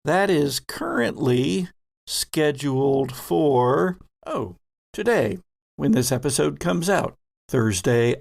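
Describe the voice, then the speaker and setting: A man hosting and narrating a tabletop game as game master, dramatic and deliberate, with suspenseful pauses. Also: a little high, creaky, darkish tone, dry recording.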